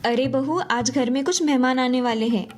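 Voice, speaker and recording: Cartoon story role: exasperated middle-aged mother-in-law acted by a woman, high pitch, disapproving, old-fashioned. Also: normal speed, soft background music, lightly acted. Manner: admonishing, disbelieving. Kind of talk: animated moral story dialogue.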